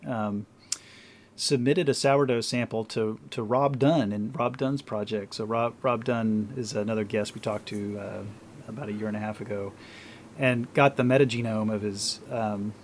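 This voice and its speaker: A man co-hosting a science podcast, chatty and quick to laugh, peppering his talk with fillers. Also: lowish voice, a little hiss or hum. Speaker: podcast co-host. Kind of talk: casual interview talk.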